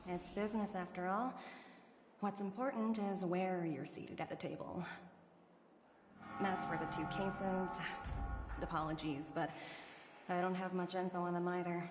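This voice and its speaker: A woman playing a shrewd young corporate executive in a video game, speaking in a measured, businesslike way. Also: lowish voice, fairly even intonation, natural voice. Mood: cool, measured. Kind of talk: scripted video game dialogue. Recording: echoey reverb under loud game music.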